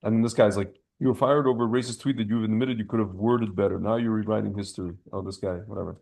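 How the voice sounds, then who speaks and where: A male podcast host with a fairly low, gravel-edged voice full of fry. He talks casually and with opinions, in slangy, rambling sentences. He often doubles back on phrases or drops them midway. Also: fairly even intonation, fast, a little mellow.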